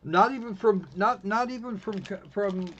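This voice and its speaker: Snarky higher-voiced man on a lo-fi stream. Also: fairly bright tone, slight background noise. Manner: snarky, halting with pauses. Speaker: livestream host. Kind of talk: casual live commentary on a visual novel. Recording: dull lo-fi microphone sound.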